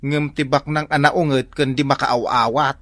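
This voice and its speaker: A man's voice: mid-range voice, level tone, normal speed, a bit bright, no room sound, clean studio sound, natural voice. An actor playing a mild, simple village man in an Ilocano radio drama, speaking hesitantly. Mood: resigned, earnest.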